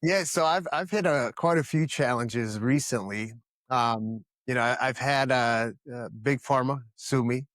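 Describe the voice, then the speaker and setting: A man's voice: medium pitch, a little slow, fairly bright tone, no echo, studio quality. Earnest man from the Dominican Republic. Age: 30s. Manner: earnest and emphatic, repeats words as he restarts. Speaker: podcast guest, company founder. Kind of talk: casual interview answers.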